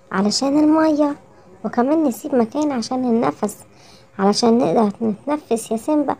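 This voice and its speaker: A woman's voice: sits high, warm tone. A woman voicing a caring, fretful mother lioness with an Egyptian accent in a children's cartoon story, admonishing and repeating words. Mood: gently scolding.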